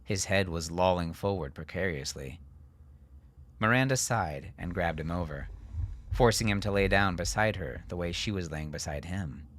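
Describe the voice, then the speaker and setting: A man reading dramatic fiction as an audiobook narrator, with a measured, deliberate delivery. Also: low voice, expressive, fairly bright tone.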